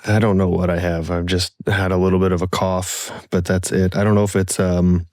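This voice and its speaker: A man co-hosting a podcast in casual chat, easygoing and a little hoarse from a coming cold. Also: low voice, flat delivery, brisk, a little mellow, no echo, clean studio sound. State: slightly hoarse, getting sick. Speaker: producer and co-host.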